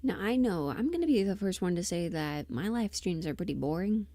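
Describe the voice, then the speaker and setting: A woman chatting casually on a livestream, thinking aloud in a halting, self-deprecating way, often muttering to herself. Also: fairly low pitch, lively intonation, dark tone, no room sound. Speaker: livestream host, voice performer.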